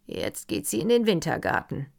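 A woman reading the part of a superior nobleman in a storybook, calm and dry in tone. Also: lowish voice, moderate pace, creaky, breathy edge, exaggerated voice. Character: smug, superior nobleman, male.

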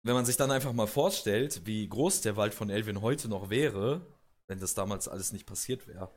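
A man's voice: on the low side, normal speed. A man with a cold, casually explaining video game lore as host, with many hesitations and restarts. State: has a cold.

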